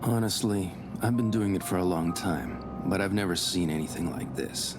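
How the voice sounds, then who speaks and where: An actor playing a deferential, earnest local police investigator in a period detective visual novel. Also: male voice, deep voice, moderate pace, music in the background, close to an everyday voice. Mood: uneasy, frank. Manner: respectful, earnest. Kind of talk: scripted visual novel voice acting.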